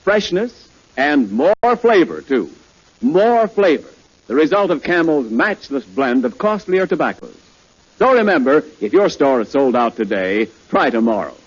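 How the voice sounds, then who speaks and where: A man's voice: on the high side, big pitch swings, a little slow, husky. A man reading a scripted 1940s radio advertisement as the announcer. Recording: muffled 1940s radio recording.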